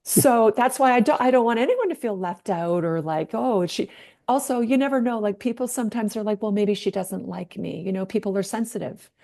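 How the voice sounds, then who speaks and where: A middle-aged woman hosting a relaxed video call chat. She talks in a bubbly, emphatic way and laughs often, with a voice of ordinary height. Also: mid-range voice, a little fast, creaky. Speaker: host. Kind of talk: casual online chat.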